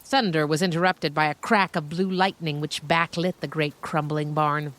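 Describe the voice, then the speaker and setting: Low-voiced woman narrating a story. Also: fairly even intonation, normal speed, a bit bright, a little hiss or hum. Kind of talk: scripted story narration with dramatic phrasing.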